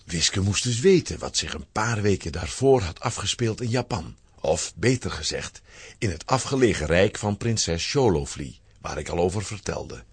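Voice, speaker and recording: Deep, very husky male storyteller. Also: animated, normal speed, no echo. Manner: storytelling, dramatic. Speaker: narrator. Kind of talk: scripted children's story narration.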